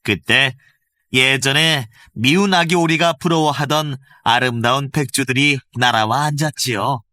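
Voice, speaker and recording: A male voice actor narrating a children's fairy tale with gentle, expressive storytelling, recorded close in a studio. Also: mid-range voice, measured pace, no echo.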